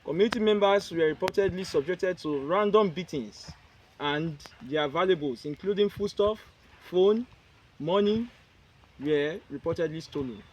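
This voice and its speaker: A man reading a prepared statement aloud at a press conference, in short, halting phrases and with the odd restart. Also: sits high, low-quality recording.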